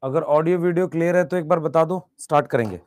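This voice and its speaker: Hoarse guy teaching an online bio class, high voice, keeps saying answer quick. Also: a little mellow. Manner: calls students "beta", repeats questions and urges quick chat answers. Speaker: biology teacher.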